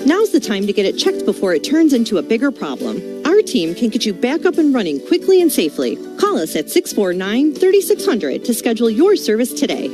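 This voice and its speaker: Animated woman in radio ad. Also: a little high, fairly quick, few pauses. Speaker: company spokesperson in radio ad. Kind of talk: scripted radio ad. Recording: music bed behind voice.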